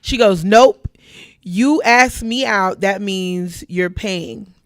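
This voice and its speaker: A woman's voice: medium pitch, slow, dry recording, studio quality. Laughing woman host, casual chat. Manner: laughs often, reads out viewer comments.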